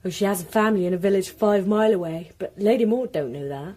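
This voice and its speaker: A woman's voice: mid-range voice, quick pace, warm tone, close to an everyday voice. An actress playing a candid, gossipy young servant girl, chatty yet deferential, in period radio drama. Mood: chatty, wry. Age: young adult.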